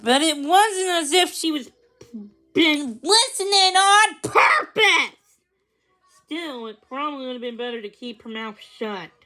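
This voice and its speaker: A woman reading a children's story aloud, expressive and a little halting, with small stumbles. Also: sits high. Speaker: storybook reader.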